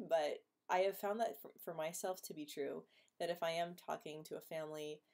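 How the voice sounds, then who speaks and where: A woman's voice: sits low, darkish tone. A woman who stutters, hosting a live stream and casually answering viewers' questions with frequent repeated sounds and blocks. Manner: stutters with repetitions and blocks, laughs often. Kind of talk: casual live chat reading comments.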